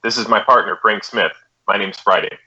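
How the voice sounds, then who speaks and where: Terse american cop narrating old radio drama, deadpan, lowish sharp voice, dull lo-fi sound. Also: male voice, a little fast. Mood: terse, businesslike. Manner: deadpan, matter-of-fact, polite. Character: terse businesslike male police detective. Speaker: police detective and narrator. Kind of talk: 1950s radio police drama, clipped narration. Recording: dull, band-limited old radio recording.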